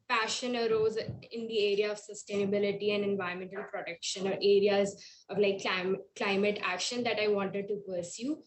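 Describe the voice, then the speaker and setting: Woman panelist in an echoey room. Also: medium pitch. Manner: hesitant, many fillers and restarts. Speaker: panelist, program manager. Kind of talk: panel discussion introduction. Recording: echoey room with faint noise.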